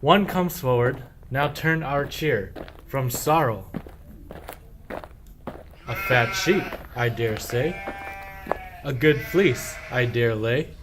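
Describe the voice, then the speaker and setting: A man's voice: mid-range voice, lively intonation, takes it slowly, long pauses, over music. A man playing a sly, boastful, self-pitying sheep thief in a medieval verse radio play, with declamatory rhyming speech. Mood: sly, secretive.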